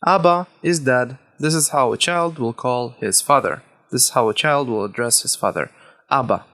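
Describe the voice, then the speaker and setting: Casting: male tutor, native Hebrew speaker, mid-pitched voice, deliberate instructional read that repeats key words for learners. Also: pushed and bright. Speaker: language teacher. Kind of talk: instructional lesson, explaining step by step.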